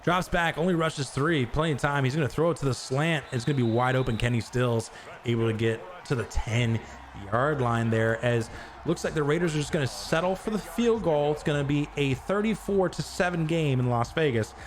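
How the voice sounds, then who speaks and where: Male stream host, casual play-by-play, mid-pitched voice, excitable on big plays, faint noise behind. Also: normal speed. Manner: excitable, jumps to high shouts on big plays; chats with viewers between plays. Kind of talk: live game-stream commentary.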